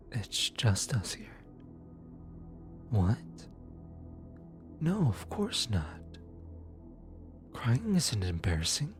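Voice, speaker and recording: A man playing a gentle, apologetic demon who comforts lost souls in an ASMR roleplay, speaking softly and hesitantly. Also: lowish voice, exaggerated voice. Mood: apologetic, tender. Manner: soft, soothing, hesitant with stammers. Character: gentle, apologetic male demon soul collector comforting the newly dead. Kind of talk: ASMR roleplay audio drama.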